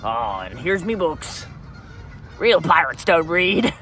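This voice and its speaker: A man's voice: fairly high pitch, very animated, slow, sharp tone, slightly rough, full character voice. A man voicing a crude, swaggering, unwashed pirate in a comic monologue, rambling offhand and calling everyone "matey" in pirate slang.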